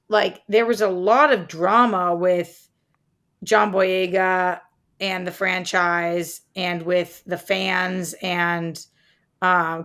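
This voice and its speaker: A woman's voice: lowish voice, a bit bright. A woman co-hosting a movie talk podcast, chatting informally and rambling through her opinions with plenty of slang. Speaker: co-host of a film talk podcast. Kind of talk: casual chat and opinion about movies.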